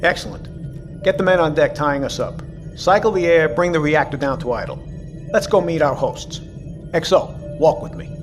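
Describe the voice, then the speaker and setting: A man's voice: fairly high pitch, moderate pace, over music, lightly acted. An American man playing a commanding, wary Navy submarine captain in an audio drama, with clipped, authoritative military delivery. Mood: firm, commanding. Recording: clear ambient background noise.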